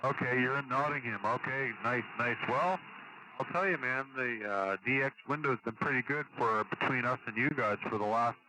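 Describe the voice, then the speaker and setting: A man's voice: medium pitch, normal speed, sharp tone, rough recording. A man operating a radio, chatting casually and informally with another operator, his voice coming over the radio. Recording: CB radio with faint static.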